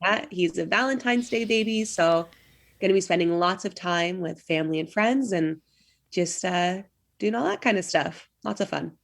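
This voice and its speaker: A woman's voice: on the low side, moderate pace, creaky. A young woman, chatting casually as a podcast co-host. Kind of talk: casual chat.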